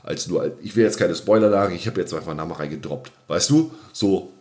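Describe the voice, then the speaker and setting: A man's voice: low voice, fast talker, a little mellow. A man co-hosting a casual podcast, talking colloquially and emphatically and often repeating his phrases. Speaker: podcast co-host.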